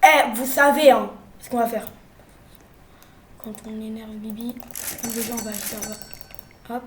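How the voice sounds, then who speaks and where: A young woman with a cold, chatting playfully through gameplay commentary, jumping from loud exclamations to quiet mumbles. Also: fairly high pitch, unhurried, reverberant, a little hiss or hum. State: has a cold. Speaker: gaming youtuber.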